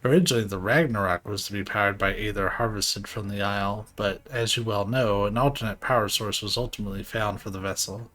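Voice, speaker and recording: A man reading game dialogue aloud for a formal, archaic-speaking scholar ally, in a plain, fairly flat way. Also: fairly low pitch, fairly quick, few pauses, natural voice.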